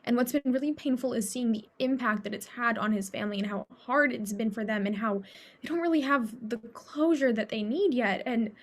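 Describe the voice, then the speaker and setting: A sorrowful academic woman with a slightly high voice, played by an actress in a documentary play. She speaks conversationally, hesitating and doubling back on her words. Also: brisk. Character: sorrowful woman academic mourning an older colleague. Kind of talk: documentary theater monologue, conversational.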